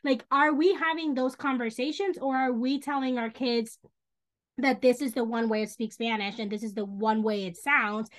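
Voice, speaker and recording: A woman from the Dominican Republic and New York City, a social worker talking as a podcast guest in an animated, emphatic way, full of asides. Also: on the high side, moderate pace. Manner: animated, emphatic, thinks aloud with many asides. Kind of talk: podcast conversation.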